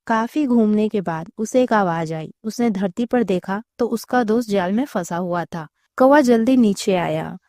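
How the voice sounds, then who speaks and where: Female storyteller for kids' tales, soft dark tone, very dry close recording. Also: mid-range voice, measured pace, few pauses, dry recording. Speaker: children's storyteller. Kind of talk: scripted story narration.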